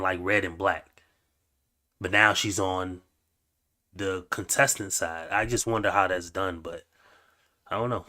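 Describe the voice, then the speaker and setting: A man's voice: low voice, pushed and bright. A man hosting a reaction video, musing aloud in slangy, wry casual commentary. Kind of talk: casual commentary over clips.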